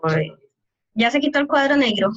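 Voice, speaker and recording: A woman's voice: high voice, expressive, normal speed, fairly bright tone, dull audio. A woman math teacher giving an online calculus class, explaining step by step and often checking that her students follow.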